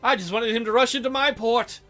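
A man's voice: very high-pitched, fairly quick, pushed and bright, faint music behind. A man reading a visual novel aloud in a theatrical way, adding irreverent joking commentary.

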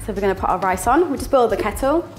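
A woman's voice: medium pitch, expressive, rapid-fire, faint music behind. A young woman with a northern English accent, chatting playfully as she cooks, laughing often and making little sound words. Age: young adult. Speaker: student cooking presenter. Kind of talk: casual cooking demonstration. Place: small indoor kitchen.

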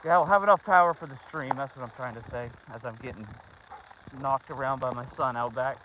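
A man's voice: medium pitch, brisk, bright, forceful tone, rough recording. A middle-aged man chatting casually and informally to viewers and companions while livestreaming a walk outdoors on a handheld phone. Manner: informal, talks to viewers, son and dogs. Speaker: livestreamer on a family dog hike. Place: outdoors on a snowy trail. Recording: outdoor phone recording with clear background noise.

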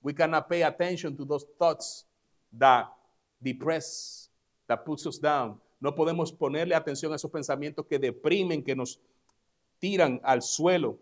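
A man's voice: fairly high pitch, unhurried. A male pastor, a native Spanish speaker, giving an emphatic sermon in a church service, saying each line in English and then repeating it in Spanish. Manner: emphatic, stressing key words. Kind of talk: bilingual sermon.

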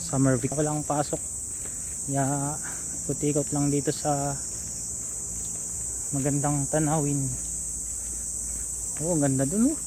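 A man's voice: fairly high pitch, fairly even intonation, slow, pauses often, warm tone, slight background noise, poor audio. A Filipino man in his thirties with a Filipino accent, giving a casual walking tour vlog as he points things out to viewers. He speaks into a phone held at arm's length on an outdoor seaside park path. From Philippines. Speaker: vlogger. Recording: outdoor ambience with insects.

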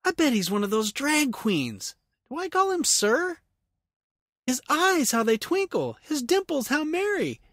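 A sassy, bossy wife from a comic holiday poem, put on by a man, high and swooping in pitch. She exclaims in scornful indignation, close and dry. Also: huge pitch swings, a little slow, a bit bright, no echo, full character voice. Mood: scandalized.

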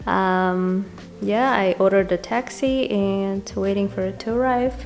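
A woman's voice: mid-range voice, steady tone, a little slow. Chatty young woman vlogging to camera. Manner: chatty, laughs between phrases, many fillers. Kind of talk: casual vlog talk to camera. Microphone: handheld camera close to the face.

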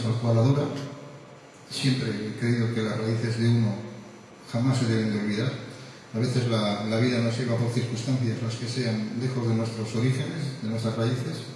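A man's voice: medium pitch, a little mellow, recorded from a distance, a little hiss or hum. Middle-aged male, accent of Spain, reflective informal song introduction on a handheld mic in a small room, very echoey with long reverb. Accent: Spanish from Spain. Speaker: amateur singer introducing a song. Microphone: handheld microphone through a PA, camera a few meters away. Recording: very echoey microphone sound in a room.

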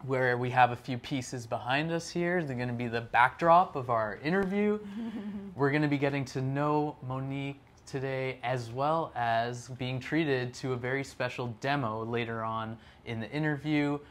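An energetic young man hosting a relaxed interview, talking at an average pitch with easy, upbeat enthusiasm. He is close on a lapel mic in a small indoor studio. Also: moderate pace, hardly any pauses. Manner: upbeat, energetic, laughs often. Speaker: host. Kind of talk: casual interview.

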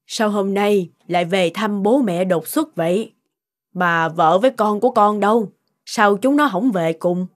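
A woman voicing a caring, shrewd older mother in a cartoon, chatty and gently admonishing, with loud music behind her. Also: medium pitch, measured pace, close to an everyday voice. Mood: suspicious. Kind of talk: animated cartoon voice acting. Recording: loud background music over voice.

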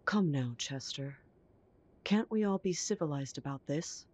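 A woman playing a poised, prying mother who talks in a genteel, gently condescending way in an audio roleplay. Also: sits low, expressive, unhurried, lo-fi sound. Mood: politely condescending. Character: poised, prying, polite upper-class mother. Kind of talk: scripted audio roleplay acting.

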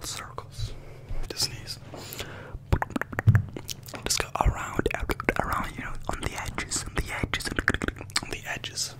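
A young man doing close-up whispered ASMR, repeating words and short phrases over and over into a close microphone. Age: young adult. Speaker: ASMR video creator, host. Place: small bedroom, indoors. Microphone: close desk microphone with foam windscreen.

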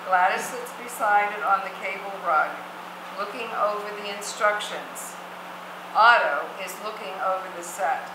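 A woman's voice: medium pitch, normal speed. A woman reading stage directions as the narrator of a scripted reading. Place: theatre stage, seated, distant camera mic. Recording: echoey theatre, noisy background.

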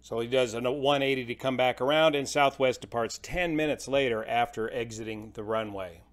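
A man's voice: medium pitch, normal speed. A middle-aged American man giving informal, colloquial explanatory commentary as an aviation host.

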